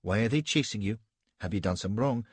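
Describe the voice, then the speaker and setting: A man voicing a flustered, naive young Victorian wife who asks one anxious question after another in a high, breathless voice. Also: fairly quick, slightly rough, no echo. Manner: breathless, fussy, plaintive questioning.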